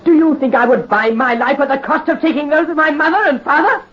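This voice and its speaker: A young actor playing a defiant, anguished aristocrat son, declaiming with theatrical passion in 1930s radio drama style. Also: male voice, very high voice, fairly quick. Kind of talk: 1930s radio horror acting. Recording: muffled 1938 radio broadcast.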